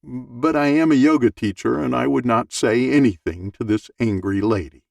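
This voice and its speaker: Gravelly older man narrating, slightly off-mic. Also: mid-range voice, moderate pace, darkish tone, no room sound, far from the mic. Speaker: audiobook narrator. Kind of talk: expressive audiobook narration of a humorous first-person essay. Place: small dark home recording booth, dry.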